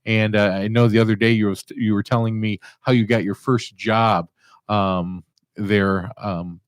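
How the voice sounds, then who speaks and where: A man's voice: lowish voice, little pitch movement, unhurried, no room sound. Dry-sounding male interview host. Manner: rambling, fillers. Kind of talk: hosted interview show.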